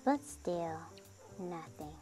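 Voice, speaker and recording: A woman narrating a children's picture book aloud in an expressive storytelling style. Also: lowish voice, very slow. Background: music under the voice.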